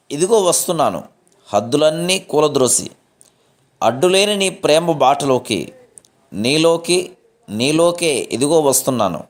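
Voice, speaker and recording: Man reading a solemn devotional. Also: fairly high pitch. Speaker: devotional reader. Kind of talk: scripted devotional narration.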